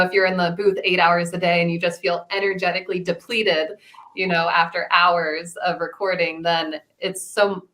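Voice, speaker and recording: A woman's voice: on the low side, a bit bright. A woman speaking reflectively and informally as a guest in a panel discussion.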